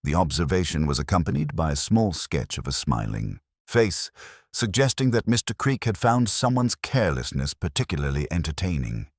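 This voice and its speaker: A man narrating a mystery story in a measured, formal, literary manner. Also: very deep voice, very animated, no echo, studio quality. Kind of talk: scripted audiobook-style narration of a mystery story.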